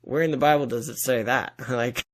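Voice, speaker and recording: A man talks as a guest author in an informal interview. His voice sits at an average pitch. He comes across as earnest and enthusiastic, speaking in loose, conversational phrases. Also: fairly even intonation, normal speed. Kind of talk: casual interview talk.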